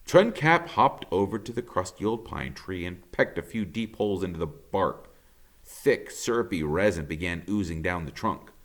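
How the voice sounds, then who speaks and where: A man reading a children's storybook aloud as expressive narration, like a teacher to pupils. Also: sits low, normal speed.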